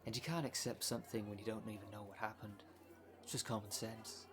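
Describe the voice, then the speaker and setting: A man playing a smooth-talking, con-artist female minister of a demon cult in an ASMR roleplay, with a polished, unctuous sales manner. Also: on the low side, steady tone, a little fast, lightly acted. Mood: composed, inviting. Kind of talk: ASMR roleplay audio drama.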